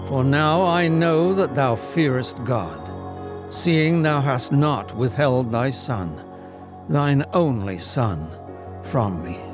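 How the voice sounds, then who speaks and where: A man's voice: medium pitch, expressive, over music. A man in his seventies with an English accent acting a solemn, stately narrator of Old Testament stories in a dramatic reading. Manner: grave, theatrical, drawn-out.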